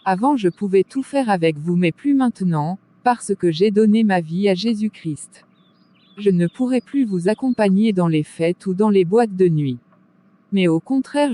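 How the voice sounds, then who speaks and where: Cartoon drama role: actress as firm, devout young woman, soft mellow tone, earnest preaching manner. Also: mid-range voice, natural voice.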